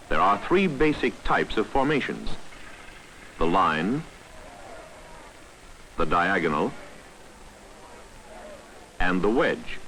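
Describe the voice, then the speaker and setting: A man's voice: lowish voice, fairly bright tone, a little hiss or hum, low-quality recording. A man narrating a 1960s training film from a script in a formal, authoritative, instructional manner, on a vintage soundtrack.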